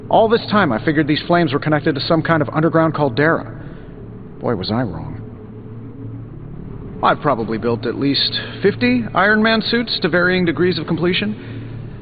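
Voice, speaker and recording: An actor with an American accent playing a cocky, witty billionaire inventor superhero in a video game, with glib, sardonic delivery. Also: male voice, a little high, highs cut off. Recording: band-limited audio under game music.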